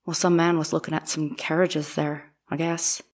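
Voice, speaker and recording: A woman acts a young housemaid testifying in an old-time courtroom audio drama. Her intonation stays flat and even. She answers tersely and plainly, guarded yet deferential. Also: low-pitched, normal speed. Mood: plain, unsure. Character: young female domestic servant on the witness stand, plain, guarded. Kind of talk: dramatic reading of an 1890s trial transcript.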